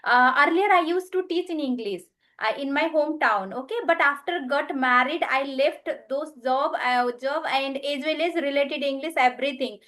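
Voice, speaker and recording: Halting woman, high voice. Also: a bit bright. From Nepal. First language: Nepali. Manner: halting non-native English, frequent restarts. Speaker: guest English learner. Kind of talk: casual video call chat. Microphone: video call.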